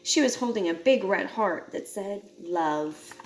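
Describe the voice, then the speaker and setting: A woman reading a children's picture book aloud in an expressive storytelling style. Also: medium pitch, normal speed. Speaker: storybook reader.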